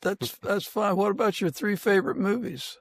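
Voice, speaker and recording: A man's voice: sits high, normal speed, no echo, clean studio sound. An American man hosting a casual podcast interview in an easygoing, reassuring way.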